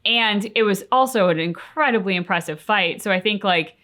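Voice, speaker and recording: A woman with a mid-pitched voice chats as co-host of a reaction show. She is earnest and articulate, leaning on words for emphasis as she thinks out loud. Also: moderate pace. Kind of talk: casual reflective discussion.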